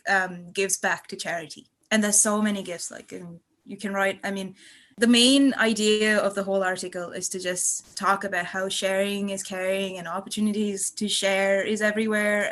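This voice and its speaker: A woman in her twenties from Malaysia talks casually as a podcast contributor. Her voice sits at a middle pitch, and her way of talking is chatty, effusive and rambling. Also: a bit bright. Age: 20s. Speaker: podcast guest and student contributor.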